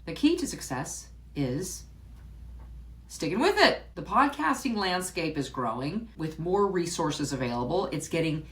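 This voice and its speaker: Woman doing a solo podcast, chatty, pitch bouncing all over, restarts a lot and laughs here and there. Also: very animated, a little slow. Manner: chatty, repeats words and restarts, laughs often. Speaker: podcast host.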